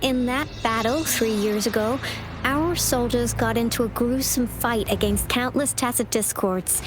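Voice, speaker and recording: A woman's voice: a little high, measured pace, lightly acted. A woman playing a composed, earnest government official in an English game dub, speaking formally and articulately over music. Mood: grave. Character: composed, earnest female government official overseeing city defenses. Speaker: game character, director of defense fortifications. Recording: studio voice under loud music. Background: game soundtrack music.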